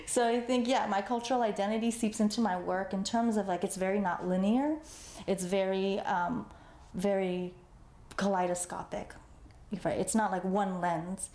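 A woman's voice: medium pitch, fairly even intonation, moderate pace, darkish tone, echoey room. A woman artist in an interview, talking casually with many fillers and easy laughs. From United States, Los Angeles. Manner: chatty, lots of fillers, laughs easily. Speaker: artist guest. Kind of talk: conversational interview.

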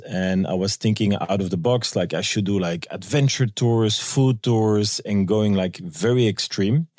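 A man's voice: fairly low pitch, darkish tone, dry recording, clean studio sound. A man from Belgium with a Belgian accent, telling anecdotes conversationally as an interview guest and acting out quoted dialogue. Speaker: guest, tour guide and business owner.

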